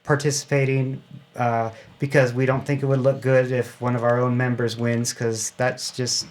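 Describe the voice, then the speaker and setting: A man giving a casual interview as a radio club member, explaining things in a halting, loosely organized way. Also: medium pitch, little pitch movement, slight background noise.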